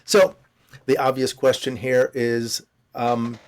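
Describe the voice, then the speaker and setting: A man's voice: medium pitch, level tone, takes it slowly. A man hosting a fiction podcast, interviewing guests and narrating stories. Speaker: podcast host and story narrator.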